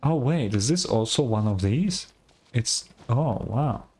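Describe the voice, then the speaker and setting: A man's voice: medium pitch, animated, slow pace, darkish tone, slightly rough. A man giving casual, hesitant gameplay commentary, often trailing off mid-thought. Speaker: gameplay video creator.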